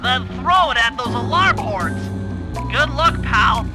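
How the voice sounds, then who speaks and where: A brainy, anxious little cartoon turtle with a very high, nasal voice. He lays out instructions precisely and fussily, like a game's mission planner, with music playing behind him. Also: expressive, takes it slowly, full character voice. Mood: urgent briefing. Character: brainy nervous male turtle, gadget-minded heist planner. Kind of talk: cartoon game voice acting, mission briefing.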